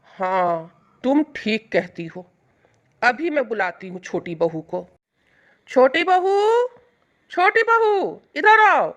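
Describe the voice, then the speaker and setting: A woman playing a cruel, greedy middle-aged mother-in-law in a moral storybook tale, shrill, nagging and accusing. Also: sing-song, slow, pauses often, a bit bright, exaggerated voice. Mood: bitter scheming. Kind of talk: Hindi moral storybook acting.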